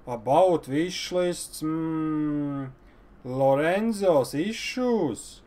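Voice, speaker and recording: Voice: mid-range voice, animated, very slow, dark tone. A game streamer giving casual, playful reactions while playing. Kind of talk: casual gameplay reaction commentary.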